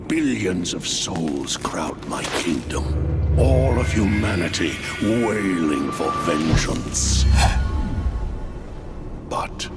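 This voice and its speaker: An actor playing a menacing, imperious undead king of the dead, speaking in a deep and ominous way. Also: full character voice. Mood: mocking. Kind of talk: game cutscene voice acting. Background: loud music.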